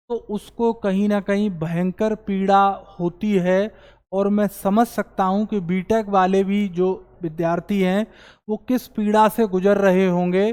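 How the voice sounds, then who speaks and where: A man's voice: high voice, clean tone. Earnest male coaching teacher, reverberant room. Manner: earnest, explanatory, slows down to stress points. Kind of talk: explaining exam news to students.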